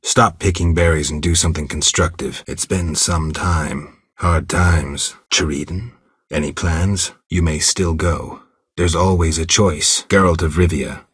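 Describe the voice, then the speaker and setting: A man playing a stoic, world-weary monster hunter in a video game, terse and dry, with a low, gravelly, husky voice. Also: steady tone, unhurried, no echo, full character voice. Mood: even, matter-of-fact. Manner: terse, restrained, dry delivery. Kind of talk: video game character dialogue.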